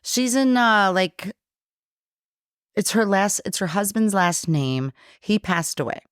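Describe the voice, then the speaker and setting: Lively storytelling woman, dry close sound. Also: medium pitch, takes it slowly, no echo. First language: Polish. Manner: animated storyteller, acts out quotes, swears casually. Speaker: guest, brewery co-owner. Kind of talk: casual bar podcast chat.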